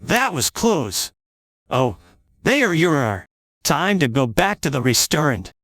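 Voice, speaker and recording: Man playing bright, animated young-sounding hero. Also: fairly high pitch, slow pace, no echo, clean studio sound, full character voice. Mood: relieved. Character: plucky hero standing up to a villain. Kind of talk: amateur audio drama acting.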